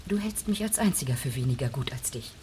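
A woman's voice: very deep voice, lively intonation, fast talker, mellow, slight background noise. An actress playing a cold, scornful middle-aged woman judge in a radio drama, restrained and contemptuous. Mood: bitter, scornful.

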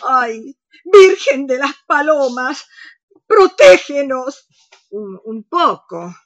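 A woman's voice: sits high, big pitch swings, extremely slow, dull audio, full character voice. An actress playing an alarmed, pious older woman with an Argentine accent in a comic radio play. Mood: fearful. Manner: panicky, dramatic.

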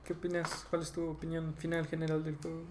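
Young mexican guy podcasting about games, swears a lot, voice a bit high, some noise behind him. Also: monotone, fast talker. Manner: slangy, frequently profane. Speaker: podcast host. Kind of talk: casual chat about video games.

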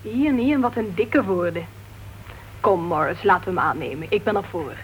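A woman's voice: mid-range voice, lively intonation, normal speed, close to an everyday voice. An actress playing an outspoken, sociable woman crime writer in a Dutch radio play. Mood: earnest, opinionated. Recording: dull, noisy old radio recording.

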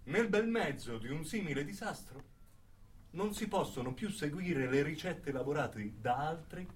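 Brooding male radical played by an actor, radio theatre monologue: highish, very expressive pitch, deliberate phrasing, off-mic with some noise. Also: far from the mic, lightly acted. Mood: somber.

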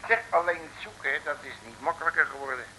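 An actor playing a grumpy, bungling detective turned burglar, muttering nervous orders in a vintage Dutch radio comedy. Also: male voice, fairly high pitch, fast, bright, forceful tone, rough recording. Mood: exasperated. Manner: nervous, bossy, muttering grumbler. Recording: old radio recording with faint noise.

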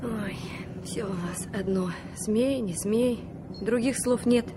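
Spirited granddaughter, noisy old recording. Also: female voice, a little high, slow. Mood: sulky. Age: young adult. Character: spirited young granddaughter helping aboard a river boat. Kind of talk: Soviet radio play acting.

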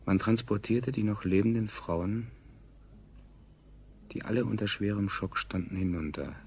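A man acting as the coolly sardonic chronicler of a doomed science colony, narrating a radio play in a clinical, ironic deadpan. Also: lowish voice, a little slow. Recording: 1970s radio production, clear background noise.

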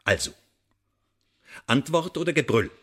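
An actor playing a menacing, mock-polite secret-service interrogator in a radio drama, articulate and sardonic. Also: male voice, mid-range voice, animated, a little slow. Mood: mocking menace.

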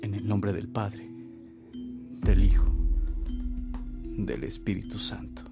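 A man's voice: lowish voice, takes it slowly, gravelly, over loud music. An actor playing a kindly, selfless priest in a radio drama, speaking in a fatherly, solemn way. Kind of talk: Spanish-language radio drama acting.

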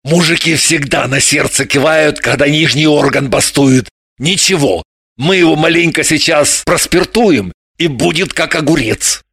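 A woman voicing a rowdy drunken male journalist who shouts and blusters. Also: sits low, unhurried, husky, no echo, studio quality, pitched below the performer's own voice, full character voice. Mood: scheming.

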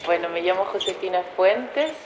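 A woman's voice: lowish voice, expressive, moderate pace. A woman in her thirties with a Chilean accent casually introducing herself. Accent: Chilean Spanish. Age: 30s. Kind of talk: self-introduction. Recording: echoey, dull, noisy.

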